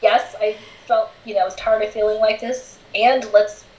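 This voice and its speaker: A woman's voice: medium pitch, steady tone, moderate pace, darkish tone, roomy sound, lo-fi sound. A woman in her forties, a guest in a casual interview, talking candidly and laughing easily. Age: 40s.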